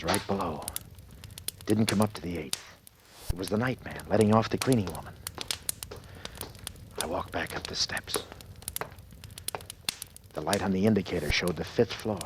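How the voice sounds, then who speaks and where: A man's voice: low voice, moderate pace, pauses often, natural voice. An actor playing a desperate, panicked businessman who narrates his ordeal in clipped, urgent sentences, in 1940s radio thriller style. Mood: rising panic. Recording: noisy old-time radio recording.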